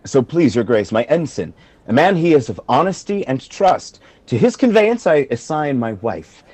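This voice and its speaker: Guy acting proud eloquent general in a shakespeare radio play, husky, big swells and drops, dry sound. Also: mid-range voice, very animated, normal speed, darkish tone, dry recording. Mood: dignified, firm.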